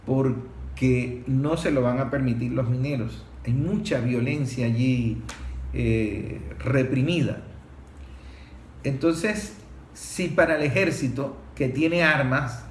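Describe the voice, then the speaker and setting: A man with a Venezuelan accent hosting a discussion with conversational commentary and questions. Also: mid-range voice. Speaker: host of an environmental discussion show. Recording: echoey room, background noise.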